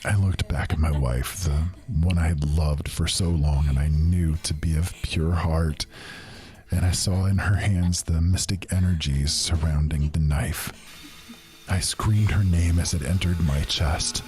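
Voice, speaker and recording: A man tells a dark fantasy horror tale in a low voice. He narrates slowly and dramatically, leaning into the dread, with loud music playing beneath him. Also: fairly even intonation. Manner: slow, dramatic, intense narration. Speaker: storyteller. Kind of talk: spoken horror story narration.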